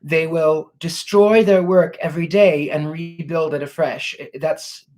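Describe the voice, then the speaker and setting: A man hosting a casual livestream, answering viewer questions in a courtly, gracious way, thanking people warmly and pausing to restart his sentences as he searches for words. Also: fairly high pitch, steady tone. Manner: reflective, many restarts while searching for words. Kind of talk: casual live Q&A chat.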